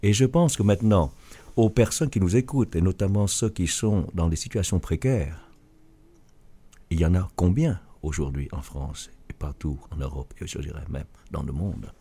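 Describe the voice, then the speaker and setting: A man's voice: deep voice, moderate pace, warm tone, slightly rough. A middle-aged man from Vietnam, a guest author, answering interview questions earnestly with frequent restarts. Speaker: guest author and business leader.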